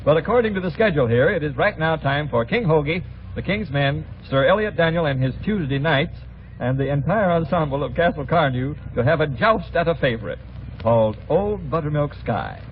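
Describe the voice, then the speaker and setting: A thin, band-limited vintage radio recording with faint noise carries this American man's voice. He has a slightly high pitch and hosts a variety show with scripted banter in a 1940s radio manner. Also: animated, a little fast. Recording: old radio broadcast, narrow band, noisy.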